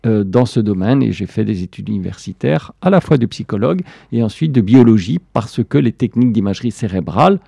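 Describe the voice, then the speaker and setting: A man's voice: on the low side, vocal fry, warm tone, husky, no room sound, studio quality. An older man from Belgium, a professor, answering interview questions thoughtfully with frequent fillers. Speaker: professor guest.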